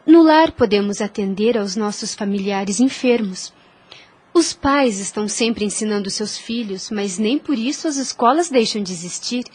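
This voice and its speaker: An actress playing a devout, gentle young woman in an audio drama, speaking in a measured, formal, preachy way. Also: on the high side, husky. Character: devout young woman, gentle, wise, earnest spiritual teacher.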